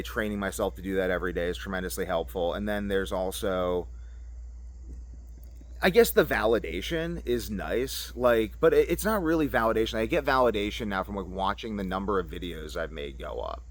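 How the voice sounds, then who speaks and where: Low-voiced man vlogging casually. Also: moderate pace. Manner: rambling, thinking aloud. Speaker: YouTube vlogger. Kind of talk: casual off-the-cuff monologue to camera.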